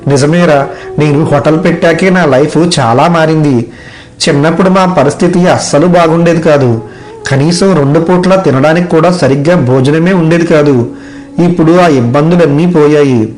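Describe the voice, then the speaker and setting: A man's voice: on the high side, normal speed, darkish tone, faint music behind, close to an everyday voice, low-quality recording. A man playing a contented, kindly young village hotel owner talking as a friend in a story dialogue. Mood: reflective, consoling. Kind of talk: animated moral story dialogue.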